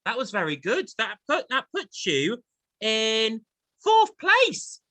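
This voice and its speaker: A man's voice: sits high, huge pitch swings, slow, sharp tone, no room sound. An English man hosting a chatty theatre interview and quiz, effusive and encouraging in manner. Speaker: host of a theatre chat show.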